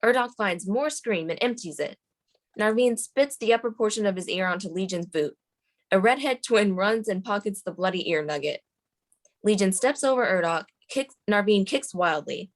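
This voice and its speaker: A woman's voice: medium pitch, animated, normal speed. A woman reading screenplay stage directions as the narrator at a table read.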